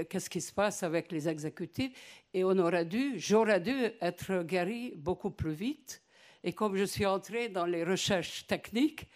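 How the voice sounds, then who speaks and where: An older woman whose first language is not French, asking a long question from the audience at a conference in a hesitant, halting way with many restarts. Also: on the low side, darkish tone.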